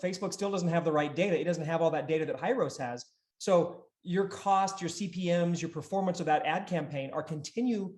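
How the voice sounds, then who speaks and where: A man in his thirties hosting a business podcast, explaining things conversationally and emphatically from a home office. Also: on the high side. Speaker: podcast host. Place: small home office, indoors. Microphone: video call setup. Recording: roomy, echoey home office.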